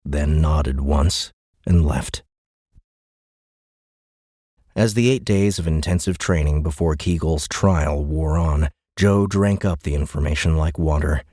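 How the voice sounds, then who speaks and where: A man's voice: low-pitched, mellow, dry recording, studio quality. A man reading a science fiction audiobook in scripted dramatic narration.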